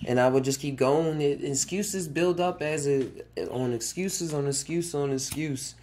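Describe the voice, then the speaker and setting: A man's voice: fairly high pitch, a little slow, darkish tone, slight background noise. Rambling young man podcasting solo. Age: 20s. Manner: rambling, chuckling, restarting sentences. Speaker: podcast host. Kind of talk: casual solo podcast monologue.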